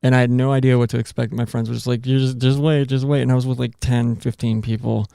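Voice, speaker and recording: A man's voice: mid-range voice, little pitch movement, fast talker, creaky, warm tone, clean tone, dry recording, studio quality. A man co-hosting a podcast, cutting in eagerly with his own stories. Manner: eager interjections, cuts in. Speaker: podcast co-host. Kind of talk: casual podcast talk.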